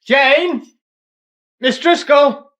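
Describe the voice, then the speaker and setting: A man's voice: very high voice, extremely slow, fairly bright tone, no room sound, exaggerated voice. An actor playing a blunt, defensive, grumbling young male police constable with a British accent in a radio drama. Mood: irritable, defensive.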